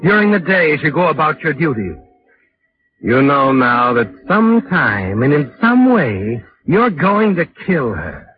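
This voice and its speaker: Creepy knowing radio suspense narrator, taunting and dramatic, muffled old recording, music behind. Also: male voice, a little high, very animated. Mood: ominous, insinuating. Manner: dramatic, deliberate, insinuating. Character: ominous, knowing, taunting male storyteller addressing the culprit. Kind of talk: 1940s radio suspense narration in second person. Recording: dull vintage radio with loud music.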